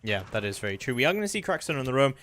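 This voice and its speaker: A man's voice: medium pitch, racing, a little hiss or hum. A man giving live play-by-play commentary on a video game as an esports caster, talking analytically. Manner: analytical, hedging, swells into excited calls.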